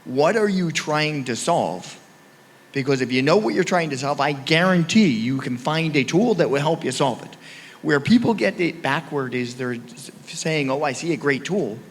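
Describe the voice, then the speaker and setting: A man's voice: fairly high pitch, lively intonation. A man on a conference panel, speaking emphatically and punching key phrases. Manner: emphatic, punchy, speeds up and slows down for stress. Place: conference hall. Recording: reverberant hall.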